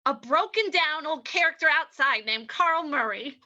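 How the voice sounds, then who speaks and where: An uneasy gangster's moll acted by a woman, high and bright, with lively swoops in her pitch. She is a reluctant accomplice, pleading and hesitant in the manner of an old radio play. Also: moderate pace, dull audio, slight background noise, exaggerated voice. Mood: reporting. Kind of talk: old-time radio drama acting.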